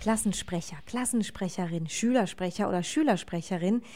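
A woman's voice: medium pitch, normal speed, a little mellow, soft background music. Woman presenting a scripted radio segment.

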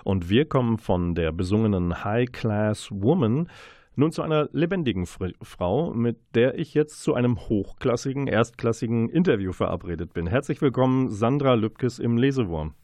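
A man hosting a radio book show, presenting reviews and interviews in a deliberate, wordy, playful announcer manner. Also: medium pitch, lively intonation, mellow, no echo, clean studio sound.

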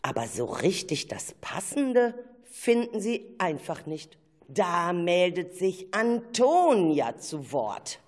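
A woman's voice: on the low side, sing-song, slow pace, darkish tone. A woman narrating a children's radio play in a scripted storytelling style.

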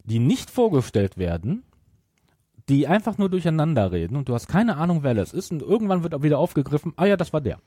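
Mellow-voiced man chatting casually. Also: on the high side, very animated, fast, breathy edge, no echo, clean studio sound. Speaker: podcast co-host. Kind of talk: casual chat about audio dramas.

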